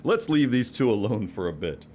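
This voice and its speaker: A man playing a kindly, gently commanding old Santa Claus in an audio drama, reassuring and quietly authoritative. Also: on the low side, sing-song, moderate pace, a little hiss or hum. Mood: firm.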